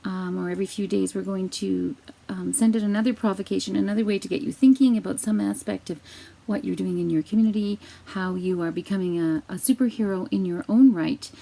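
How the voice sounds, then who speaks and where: A woman in her fifties speaks informally to students from a kitchen. Her voice is mellow, with a gravelly fry running through it. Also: medium pitch, steady tone, fairly quick, poor audio. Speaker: school staff leader addressing students. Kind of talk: informal welcome message. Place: home kitchen.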